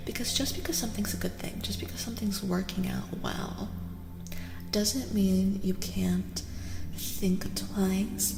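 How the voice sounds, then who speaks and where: A woman streamer giving a soft-spoken ASMR tarot reading, often whispering, unhurried and soothingly repeating her phrases. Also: medium pitch, gravelly. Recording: echoey long reverb, music behind. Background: music.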